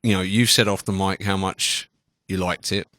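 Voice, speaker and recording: A man hosts a radio show in a low voice. He chats casually and enthusiastically, rambling as he goes. The studio sound is close and dry. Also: flat delivery, normal speed, sharp tone, no room sound.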